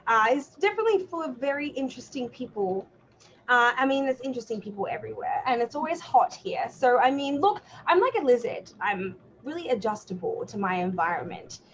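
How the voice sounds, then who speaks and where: Aussie woman on a podcast call, high-ish voice, gushing, muffled noisy line. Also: animated, brisk. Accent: Australian. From Melbourne, Australia. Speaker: podcast guest, cosplay artist. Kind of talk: casual chat. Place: remote online call.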